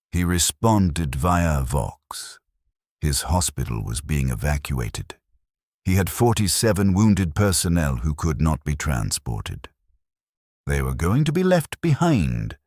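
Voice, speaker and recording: A man narrating a scripted first-person war story as a stoic, weary military clerk, in a somber, measured way. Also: extremely low pitch, a little mellow, dry recording, studio quality. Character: weary, stoic military supply clerk recounting wartime losses.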